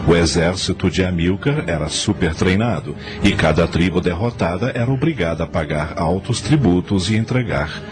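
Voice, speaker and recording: A man delivers scripted, dramatic radio narration. His voice is fairly low and swings widely in pitch, with strong music running behind it. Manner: dramatic storyteller.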